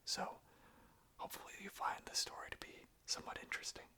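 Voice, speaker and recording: An ASMR host speaking informally in a breathy, unvoiced whisper, with a soft, gentle and hesitant delivery. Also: fairly quick.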